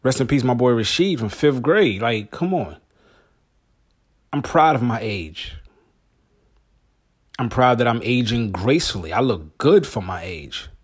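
A man's voice: mid-range voice, animated, measured pace, long pauses, slightly rough. Laid-back slangy man hosting a podcast. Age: 40s. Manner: laid-back, slangy, talks straight to listeners. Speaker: podcast host. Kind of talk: casual solo podcast monologue.

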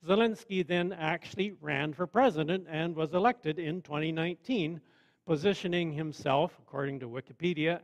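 A man preaching a sermon in a measured, conversational way with wry asides. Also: fairly high pitch. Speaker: pastor.

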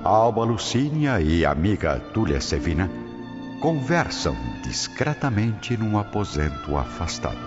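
A low-pitched man narrates a radio soap with an animated, dramatic swing. The sound is dull and lo-fi, with music clearly playing underneath. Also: measured pace. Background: clear music bed.

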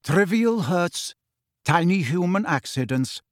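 A man voicing a calm, aloof male centaur teacher in an audiobook, speaking in a measured, solemn, formal way. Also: high voice, dry recording, studio quality, voice pushed up. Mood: dismissive, lofty. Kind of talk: acted audiobook dialogue.

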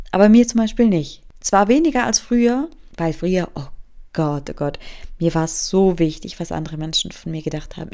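A young German woman in her twenties talks through a casual solo podcast episode. Her voice sits low and carries a gravelly fry. She rambles from thought to thought, teases herself, and sprinkles English phrases into her talk. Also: mellow. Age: 20s. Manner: rambling, self-mocking, drifts off topic. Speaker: podcast host.

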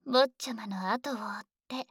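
A woman's voice: on the high side, normal speed, fairly bright tone, breathy edge, no echo, clean studio sound. A woman voicing a devoted dragon maid in an ASMR audio drama, speaking with formal, servile politeness and often whispering. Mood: doting. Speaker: voice actor.

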